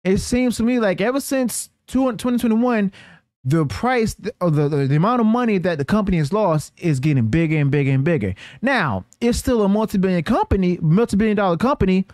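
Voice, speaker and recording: Higher-pitched man giving halting news commentary. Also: animated, a little mellow, no echo, clean studio sound. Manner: halting delivery with stumbles and self-corrections. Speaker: host of a news commentary channel. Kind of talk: news commentary, partly reading from notes.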